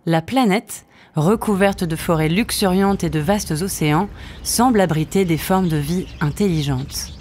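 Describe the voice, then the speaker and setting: A woman's voice: lowish voice, unhurried, darkish tone. A woman narrating a scripted science-fiction audio story.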